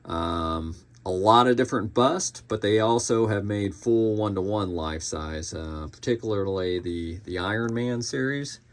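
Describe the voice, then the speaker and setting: Male collector-reviewer, lower-pitched, informal and unscripted, pausing to think mid-sentence. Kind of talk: casual unscripted product review.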